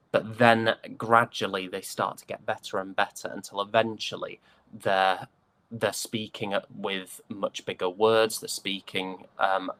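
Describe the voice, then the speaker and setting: A young British man chatting casually as an interview guest, an actor recounting his roles in a hesitant, halting way. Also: lowish voice, a bit bright. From United Kingdom.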